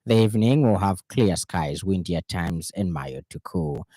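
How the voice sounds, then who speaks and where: A man's voice: low voice, animated, moderate pace, mellow, no room sound, clean studio sound. A man with a Zambian accent reading a formal scripted news bulletin on the radio.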